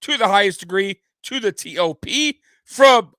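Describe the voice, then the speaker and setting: A man hosts a laid-back sports recap and drifts from one thought to the next as he answers his live chat. He sounds a little tipsy. His voice is bright and forceful, recorded dry and close with no room echo. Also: high voice, animated, normal speed. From Detroit area. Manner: loose, rambling, talks to the chat. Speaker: host. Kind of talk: casual sports recap show.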